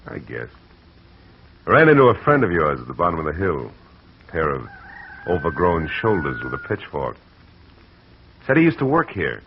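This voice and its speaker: A man's voice: low-pitched, wildly swooping pitch, moderate pace, pauses often, a little hiss or hum, exaggerated voice. A man playing a wry, hard-boiled private eye in a 1940s radio drama, who also narrates, on a muffled old recording. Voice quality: dry, wry. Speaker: narrator and lead.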